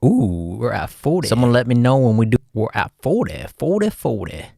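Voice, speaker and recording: A man with a Texan accent hosting a livestream, giving casual, folksy chart commentary and often calling out to "ladies and gentlemen". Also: medium pitch, very animated, moderate pace, gravelly, no room sound. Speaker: livestream host, market analyst.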